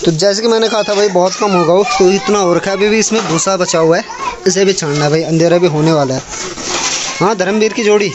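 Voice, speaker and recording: A man's voice: high-pitched, fast, muffled recording, very noisy. A young man from the Himalayan hills filming a casual village vlog on his phone at arm's length, chatting to viewers as he goes about chores. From Uttarakhand hills, India. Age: young adult. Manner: chatty, keeps calling viewers brother. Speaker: village vlogger. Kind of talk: casual vlog talk to camera. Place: village house and yard, indoors and outdoors. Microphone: phone camera held at arm's length.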